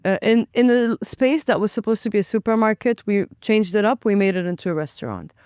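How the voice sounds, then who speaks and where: A young woman from Lebanon tells her story as a restaurant owner and guest. She is chatty and animated, and she acts out her own thoughts as she goes. Her voice is smooth and clear in a dry, close recording. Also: mid-range voice, fairly quick, no room sound. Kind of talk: casual interview.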